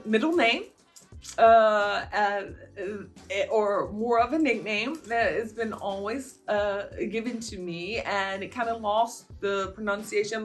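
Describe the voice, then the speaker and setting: A woman in her fifties from the United States, chatting casually through a Q&A with her viewers, rambling a little and filling pauses with "um" and "uh". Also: fairly high pitch. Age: 50s. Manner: chatty and meandering, with frequent fillers. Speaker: vlogger answering viewer questions. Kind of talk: casual Q&A monologue.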